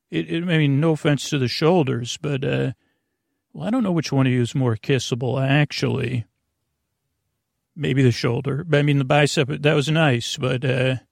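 A man with a gravelly voice hosting a bedtime podcast in a halting, whimsical, rambling monologue that keeps interrupting itself. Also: mid-range voice, no room sound, studio quality. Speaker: podcast host.

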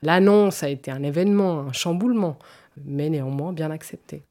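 Woman, 40s, very low pitch, mellow tone, thoughtful and hesitant interviewee. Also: moderate pace. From canton of Vaud, French-speaking Switzerland. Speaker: interview guest. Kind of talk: personal testimony in an interview.

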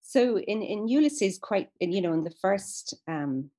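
A woman's voice: medium pitch, expressive, measured pace, dark tone. A woman speaking as a guest in an interview, explaining at length in a thoughtful way with frequent fillers and restarts. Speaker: guest expert on literature. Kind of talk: conversational interview.

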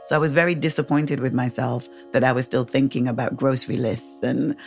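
Woman, 40s, very deep voice, candid storytelling, laughs often. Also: steady tone, fairly quick, faint music behind. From England, raised in the Caribbean. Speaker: guest sharing her story. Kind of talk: personal storytelling.

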